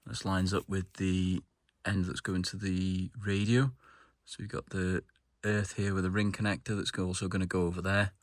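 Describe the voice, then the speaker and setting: A man with a low-pitched voice presents a hands-on DIY job. He talks informally, thinking aloud as he goes, in the casual style of a home mechanic explaining each step. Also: flat delivery, normal speed, no room sound, studio quality. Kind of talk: casual hands-on how-to narration.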